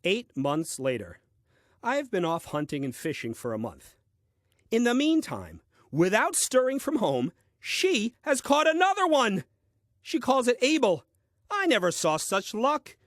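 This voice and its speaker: An actor playing a bemused, earnest first man reading his diary in a comic audio play, deliberate and puzzled. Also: sits high, wildly swooping pitch, fairly bright tone, no echo, clean studio sound, full character voice. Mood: baffled. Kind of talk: audio play diary monologue, dry comedy.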